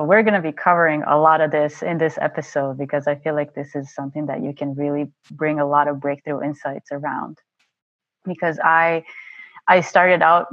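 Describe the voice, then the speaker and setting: A woman's voice: sits low, dry recording, highs cut off. A woman hosting a podcast interview about human design, who talks in a chatty way and tags her points with "right?". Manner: chatty, says "right?" often. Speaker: podcast host.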